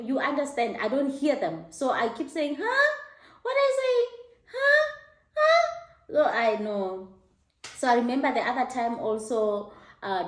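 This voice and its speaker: A middle-aged woman with a South African accent recounts a personal story in a lively, laughing way and slips into squeaky exclamations. Her voice sits a little high. The room around her is echoey. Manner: animated, laughing, high-pitched mock exclamations. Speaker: host. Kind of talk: casual storytelling to camera.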